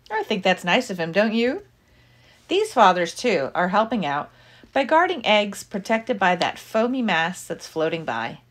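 A woman reading a children's picture book aloud like a teacher, with deliberate, expressive phrasing for young listeners. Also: mid-range voice.

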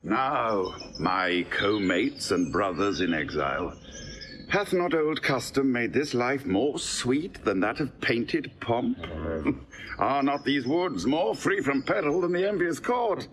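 An actor playing a banished duke speaking to his companions in the woods, reflective and measured, in classical stage style. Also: mid-range voice, sing-song, a bit bright, slight background noise. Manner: reflective, measured speech with slowed phrases. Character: banished older duke, philosophical and content. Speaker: exiled duke.

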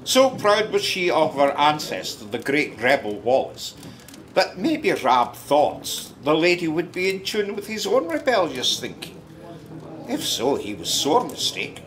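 A Scottish storyteller in a radio drama. He takes his time, with a lively, swooping delivery. He is wistful and a little gossipy, drifting into hesitant, confiding asides. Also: fairly high pitch, unhurried. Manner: musing, conversational, hesitant asides. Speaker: narrator.

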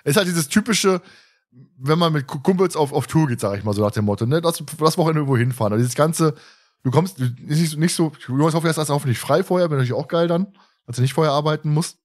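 A man's voice: fairly high pitch, fast. A man hosting a podcast in casual chat, with a colloquial, jokey way of talking. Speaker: podcast host.

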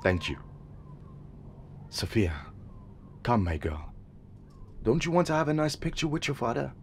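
An actor playing a gentle sailor father who patiently coaxes his frightened daughter. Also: medium pitch, sing-song, a little slow, slight background noise. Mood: coaxing. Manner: soft, patient and coaxing. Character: tender seafaring father coaxing his scared daughter.

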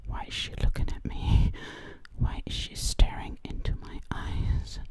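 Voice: measured pace, darkish tone. A whispering ASMR roleplay voice, asking frightened questions to itself and repeating single words over and over. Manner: frightened, breathless questions to themselves.

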